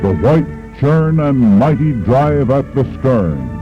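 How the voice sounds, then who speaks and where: A man giving brisk, punchy 1930s newsreel narration. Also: medium pitch, sing-song. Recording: dull old film soundtrack with music.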